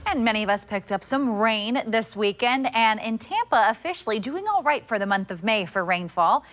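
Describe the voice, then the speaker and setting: A woman's voice: on the high side, lively intonation, moderate pace, a bit bright, soft background music. An American woman giving a live television weather forecast as a meteorologist.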